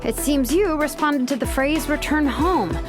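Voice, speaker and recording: A woman's voice: high voice, unhurried, over music. A woman playing a curious, breezy young inventor in a video game, casually thinking aloud in an analytical way.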